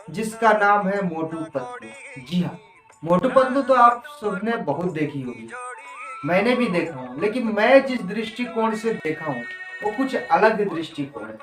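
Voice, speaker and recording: High-voiced Indian man, roomy distant recording. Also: fairly bright tone. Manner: emphatic, repeats points for effect. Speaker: motivational video presenter. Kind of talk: informal motivational talk. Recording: roomy, distant mic with music. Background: music.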